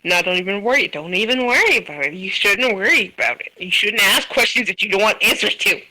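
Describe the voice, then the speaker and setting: Young woman host, loud and bright, swears freely and trash-talks while she plays. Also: medium pitch, lively intonation, brisk, low-quality recording. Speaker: podcast host. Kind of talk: casual gaming chat.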